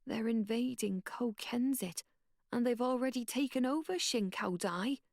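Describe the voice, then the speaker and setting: An earnest young boy with a raised, lighter voice, as a female narrator plays him, talking slowly and hesitantly and stumbling on small fillers. The reading is dry and close-miked. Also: on the high side, dry recording.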